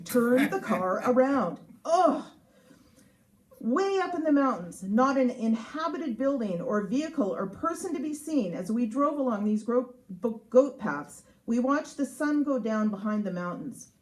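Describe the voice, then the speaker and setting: A woman's voice: high-pitched, a little mellow, poor audio. A woman reads her humorous memoir aloud as its author, in a sarcastic, emphatic, mock-exasperated manner. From Canada. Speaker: author reading from own book. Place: live reading at a showroom.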